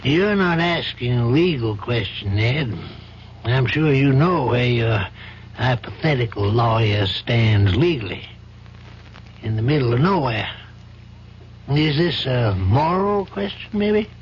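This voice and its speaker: An actor playing an older, compassionate judge in an old-time radio drama, reasoning in a measured, probing, paternal manner. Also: male voice, medium pitch, animated. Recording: dull, faintly noisy old radio recording.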